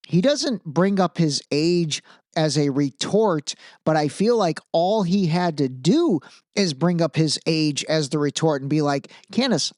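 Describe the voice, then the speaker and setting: A man's voice: on the high side, no room sound. Emphatic male podcast host, dry close sound. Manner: informal, emphatic, repeats phrases for emphasis. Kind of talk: casual opinionated commentary on an interview.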